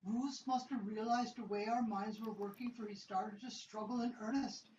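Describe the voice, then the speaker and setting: A woman narrating a science-fiction story in an amateur radio play reading, with a steady storytelling delivery. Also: on the high side, normal speed, roomy sound, highs cut off, slight background noise.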